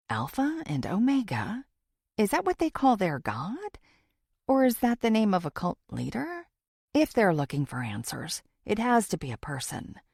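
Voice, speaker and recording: A woman reading a first-person thriller audiobook in a dramatic, expressive storytelling manner. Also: lowish voice, no room sound, studio quality. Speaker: audiobook narrator.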